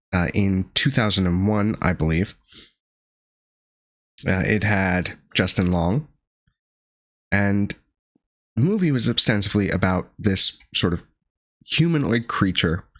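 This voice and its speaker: A man with a gravelly voice still recovering from being sick, reviewing a horror film with dry sardonic asides and frequent pauses. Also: fairly low pitch, dry recording. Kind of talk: casual video review monologue.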